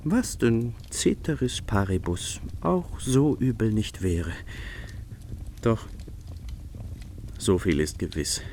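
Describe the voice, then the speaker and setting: An actor playing a remorseful, secretly tender schemer in a literary radio play, brooding theatrically and at times dropping into a whisper. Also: male voice, on the low side, sing-song, a little mellow, slight background noise, full character voice. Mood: brooding, self-justifying. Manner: slow, brooding, theatrical soliloquy with whispered phrases.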